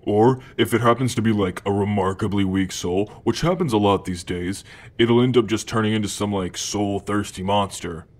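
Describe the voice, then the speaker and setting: A man's voice: fairly low pitch, moderate pace, natural voice. A man playing a cocky, food-obsessed male demon in an ASMR roleplay, talking to the listener in a casual, hesitant slacker drawl. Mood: playful boasting.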